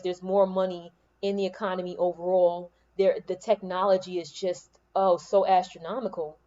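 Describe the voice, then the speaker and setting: Woman, soothing calming voice, warm and mellow, podcast host, spiritual pep talk, unhurried with emphatic repeats, laptop mic at home, dull lo-fi sound. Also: medium pitch. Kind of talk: spiritual motivational monologue. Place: room at home with air conditioning on. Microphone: laptop microphone.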